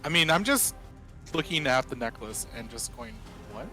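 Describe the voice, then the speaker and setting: A man's voice: mid-range voice, expressive, moderate pace, pushed and bright. A man chatting casually as a player in a tabletop role-playing game. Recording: faint background music.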